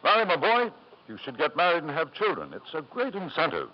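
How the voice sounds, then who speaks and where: An actor playing a powerful middle-aged tycoon in a radio drama, with halting, smooth-talking insistence. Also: male voice, a little high, huge pitch swings. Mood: genial, brisk. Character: powerful, self-satisfied middle-aged British tycoon, hesitant in charm. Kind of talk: 1950s radio drama acting. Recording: dull, noisy 1950s radio recording.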